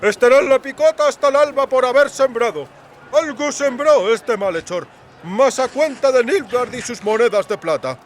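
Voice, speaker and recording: A man's voice: very high voice, moderate pace, a little hiss or hum, pitched above the performer's own voice. A man playing a pompous, indignant fat townsman with emphatic, self-important delivery in an audiobook. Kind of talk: audiobook character acting.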